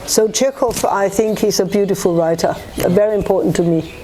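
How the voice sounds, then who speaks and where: A woman's voice: medium pitch, fairly quick, dark tone, poor audio. A woman from Australia, a guest author talking earnestly about her work in an interview. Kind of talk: conversational interview answers.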